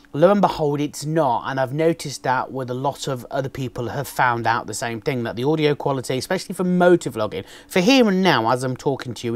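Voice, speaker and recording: A man, casually chatting through an unboxing, with a naturally loud voice and a rambling way of talking full of ums. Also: medium pitch, dry recording. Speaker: motovlogger reviewing gear. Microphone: external microphone on a camera.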